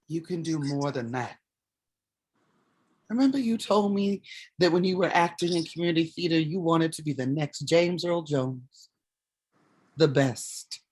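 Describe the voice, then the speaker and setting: A woman's voice: low voice, unhurried, long pauses, a little mellow, slightly rough. A woman in a staged reading playing an impassioned, defiant leader of a community theater company, with a blunt, emphatic delivery. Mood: impassioned, insistent. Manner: blunt, emphatic speech-making.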